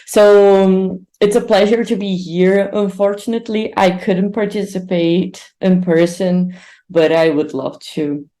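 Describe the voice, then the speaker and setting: Slow, mellow-voiced Brazilian woman speaker. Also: medium pitch, fairly even intonation. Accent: Brazilian Portuguese. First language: Portuguese. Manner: hesitant, many fillers and restarts. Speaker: conference speaker. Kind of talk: online conference talk.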